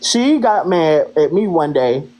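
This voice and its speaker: A woman with a deep voice, a live-stream guest talking bluntly and indignantly, with plenty of profanity. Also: lively intonation, measured pace, warm tone, a little hiss or hum. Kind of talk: heated live-stream talk.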